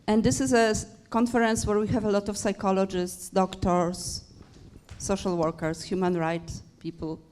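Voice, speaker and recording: A woman with a Polish accent moderating a conference panel, asking questions haltingly as she searches for words. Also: medium pitch, little pitch movement, a little mellow, slight background noise.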